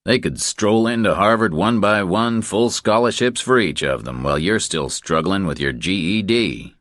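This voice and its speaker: A man's voice: deep voice, animated, moderate pace, a bit bright, no room sound, pitched above the performer's own voice. A man voicing a tough, self-assured drifter hero who taunts and commands with dry sarcasm. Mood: mocking.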